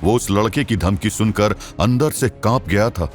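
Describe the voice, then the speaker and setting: A man's voice: on the low side, moderate pace. A man narrating a scripted audio story in a dramatic style. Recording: music behind the narration.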